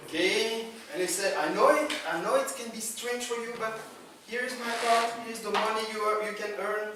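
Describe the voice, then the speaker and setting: A man from Belgium, a native French speaker with a Belgian French accent, gives an informal conference talk as a story, in halting English with acted-out dialogue. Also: high voice. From Brussels, Belgium. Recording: echoey, noisy room, distant microphone.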